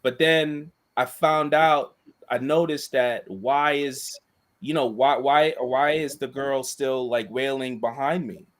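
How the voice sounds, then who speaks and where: Monotone man telling a rambling story. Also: mid-range voice, slow pace. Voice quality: monotone voice, self-described. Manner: rambling, with many restarts and asides. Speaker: co-host and school staff member. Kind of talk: casual chat, storytelling.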